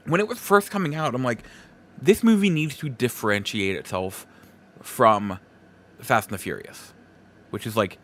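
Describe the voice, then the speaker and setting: Animated man with a higher-pitched voice. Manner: informal and conversational, emphatic about opinions. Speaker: podcast host. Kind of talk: casual opinionated solo podcast talk.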